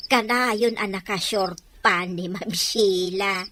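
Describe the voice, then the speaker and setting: A woman's voice: medium pitch, drawn-out words, background noise. A woman playing a cheeky, gossipy household maid in a radio drama, with a teasing manner and a Filipino accent.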